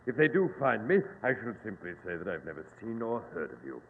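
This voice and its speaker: A haughty, menacing pirate villain in a vintage radio play. His gravelly voice is pompous and mock-gentle. The old recording is narrow and reverberant, with clear noise. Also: lowish voice, sing-song, fairly quick. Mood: cold menace. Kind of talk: 1940s radio acting.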